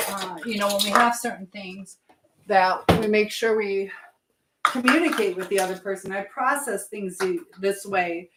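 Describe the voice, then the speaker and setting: A woman hosting a casual live chat from her kitchen, talking informally to viewers while she cooks. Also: mid-range voice. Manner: chatty, reads viewer messages aloud and questions them. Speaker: live stream host giving advice. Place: indoors in a kitchen. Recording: echoey room with background noise.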